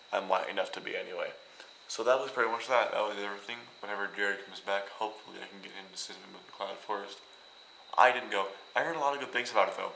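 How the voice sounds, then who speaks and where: A young man from Iowa rambling and joking as he vlogs from a hotel room, now and then bursting out loud. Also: lowish voice, reverberant, slight background noise, poor audio. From Iowa, United States. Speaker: vlogger. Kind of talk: casual travel vlog.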